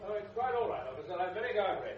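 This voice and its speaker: High-pitched, genial family man. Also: big pitch swings, very fast. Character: genial, affectionate father arriving home late. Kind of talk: radio drama acting. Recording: tinny, band-limited radio drama with music.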